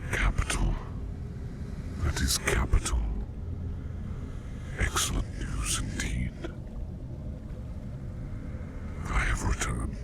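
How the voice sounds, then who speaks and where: A man playing a mysterious, formal plague doctor in an ASMR roleplay, whispering in slow, archaic phrases with a breathy, gravelly voice. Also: very deep voice, exaggerated voice. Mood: pleased, mysterious. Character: mysterious plague doctor hypnotist visiting a dream, formal, protective.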